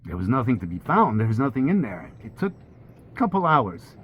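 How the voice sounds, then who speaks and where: A man's voice: medium pitch, moderate pace, husky. A man in his sixties whose first language is French, candidly recounting his own ordeal as a sailing vlogger. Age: 60s. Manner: plain, candid retelling with steady pacing. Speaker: sailor vlogger. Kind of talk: personal storytelling narration. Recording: slightly dull with faint hiss.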